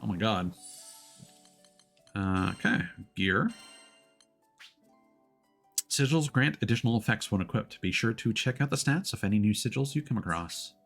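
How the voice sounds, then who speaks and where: A man's voice: on the low side, moderate pace, long pauses, soft background music. A man giving playful, casual gameplay commentary as a streamer, sometimes reading game text aloud. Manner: playful, expressive.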